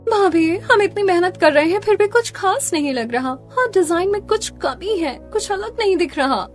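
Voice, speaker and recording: A woman's voice: extremely high pitch, expressive, moderate pace. A woman voicing a proud young designer sister-in-law in a Hindi cartoon family drama, speaking in an emphatic, dramatic way. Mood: anxious, strained. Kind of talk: melodramatic Hindi cartoon story dialogue. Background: music.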